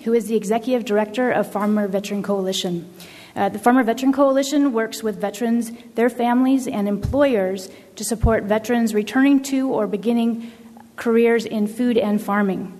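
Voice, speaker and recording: A woman's voice: on the high side, moderate pace, darkish tone, in a large hall. A woman hosting a workshop, reading a polite, scripted introduction with occasional fillers. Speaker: workshop host introducing a speaker.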